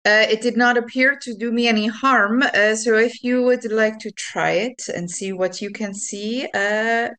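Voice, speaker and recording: A woman playing a tabletop roleplaying game, improvising in character in a halting, hesitant manner. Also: on the high side, fairly even intonation, bright, forceful tone.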